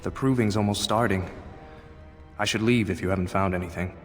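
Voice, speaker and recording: An actor playing an earnest, anxious dwarf warrior in a video game, speaking haltingly. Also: male voice, low voice, natural voice. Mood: nervous. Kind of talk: video game character acting. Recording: long reverb, music behind.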